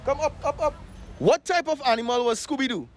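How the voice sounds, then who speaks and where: A man's voice: extremely high pitch, fairly quick, some chatter behind. A man with a Trinidadian accent hosting a comic street quiz, coaxing people along with short repeated phrases. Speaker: street interviewer and show host. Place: outdoors on a street.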